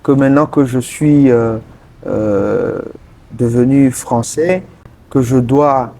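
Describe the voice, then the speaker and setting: A man from Togo, answering interview questions earnestly and pausing often mid-sentence to find his words. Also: medium pitch, mellow, slight background noise. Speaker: interview guest, politician.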